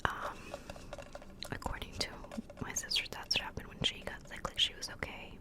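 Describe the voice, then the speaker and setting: A woman whispering a rambling ASMR catch-up close to the mic, a little congested from a cold, with lots of fillers. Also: moderate pace. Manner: rambling, many fillers and restarts. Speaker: ASMR creator. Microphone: close-up microphone.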